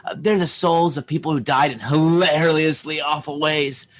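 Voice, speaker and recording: Excitable, gleefully mean kid Huxley, played by an actor. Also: medium pitch, normal speed, close to an everyday voice. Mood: excited wonder. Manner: excitable, teasing, blurting. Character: eager, gleefully mean, nosy boy Huxley. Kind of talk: comedy radio theatre acting.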